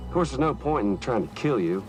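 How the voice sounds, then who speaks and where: An actor playing a taunting, vengeful video game villain back from the dead, gravelly and theatrical, drawing out his menace. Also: mid-range voice, big pitch swings, lightly acted. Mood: gloating menace. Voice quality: gravelly, creaky. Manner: theatrical, mocking, drawn-out menace. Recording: loud music over the voice.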